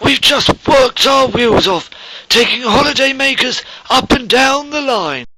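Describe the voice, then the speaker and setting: Children's train story: fretful elderly engine, very high-pitched and slow, noisy background. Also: male voice, expressive, pushed and bright, no room sound, exaggerated voice. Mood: weary complaint.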